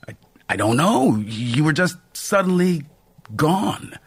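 A man's voice: a little high, big pitch swings, takes it slowly, breathy edge, pitched above the performer's own voice, full character voice. A man voicing a flustered middle-aged male engineer who speaks hesitantly and stammers. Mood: unsettled.

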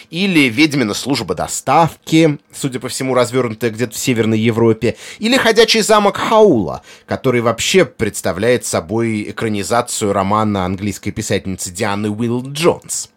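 Man narrating with very lively, swooping intonation. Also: medium pitch. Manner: erudite, articulate, with conversational asides. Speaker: presenter of a film music video essay. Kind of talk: explanatory video essay narration.

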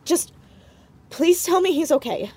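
A woman's voice: very high voice, lively intonation, measured pace, a little mellow, a little hiss or hum. A woman playing an anxious, determined teenage girl sleuth in an audio drama, speaking hesitantly but insistently. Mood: frightened, demanding. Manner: hesitant, stammering yet insistent. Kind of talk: naturalistic audio drama acting.

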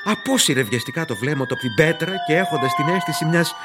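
An actor playing a grave, ominous narrator of a cosmic horror radio play, theatrical and suspenseful. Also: male voice, a little high, lively intonation, natural voice. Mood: tense, awed. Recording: loud music under the voice.

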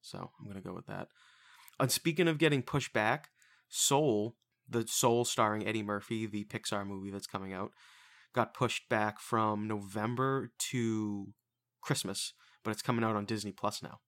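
A man's voice: fairly low pitch, normal speed, a bit bright. A man in his twenties hosting a casual podcast, loose and profane, rambling through movie news. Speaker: podcast host.

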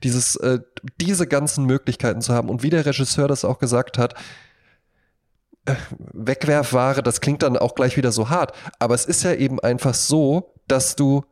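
A man's voice: medium pitch, normal speed, a little mellow. Man in casual podcast chat. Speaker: podcast co-host.

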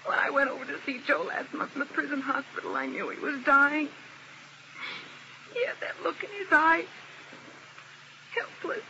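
A woman's voice: sits high, moderate pace, gravelly, long pauses, sharp tone, exaggerated voice. An actress playing a grieving, vengeful young woman in a 1950s radio drama, speaking intensely and emotionally. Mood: anguished. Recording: dull, noisy old radio recording.

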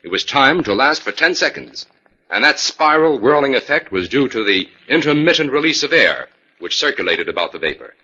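A man's voice: medium pitch, big pitch swings, brisk, bright, forceful tone, breathy edge, heavily acted. An actor playing a confident, analytical male scientist-detective, explaining with authority in 1940s radio style. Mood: assured. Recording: dull 1940s radio recording.

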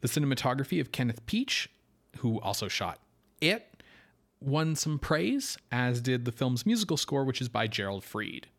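A man co-hosting a film podcast, explaining things in a measured way as he moves between prepared notes and discussion. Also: medium pitch, sing-song, gravelly, a bit bright. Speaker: podcast co-host.

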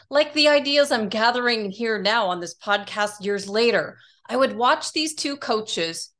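A woman's voice: medium pitch, a bit bright. A woman hosting a podcast, reading a polished introduction and then asking her guest questions with enthusiasm. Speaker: podcast host.

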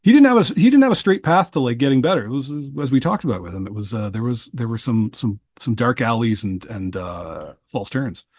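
Guy on a podcast, kinda husky, dry close mic, lots of ums and restarts. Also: medium pitch, animated, darkish tone, no room sound. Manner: halting, many restarts and fillers. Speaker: podcast co-host and producer. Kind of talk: casual podcast conversation.

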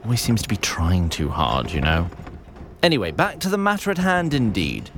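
Actor as a wry, lively fantasy storyteller. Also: male voice, on the low side, moderate pace, background noise. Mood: wry, formal. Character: wry, chatty male storyteller of a fantasy tale. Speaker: narrator. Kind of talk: scripted fantasy audio drama narration.

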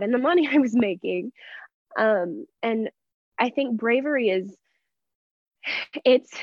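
A young American woman from Texas speaking as an interview guest, earnest and expressive as she reflects at length in personal conversation. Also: medium pitch, no echo, lo-fi sound. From Texas, United States. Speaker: interview guest, church member.

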